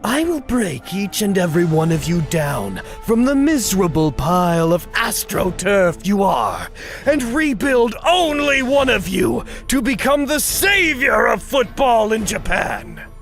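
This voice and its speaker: A man's voice: sits high, very animated, measured pace, fairly bright tone, soft background music, heavily acted. A man playing a contemptuous, grandiose football coach in a manga parody, pompous, menacing and declamatory. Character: contemptuous, grandiose male football coach crushing young hopefuls. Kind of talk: comedic manga parody voice acting.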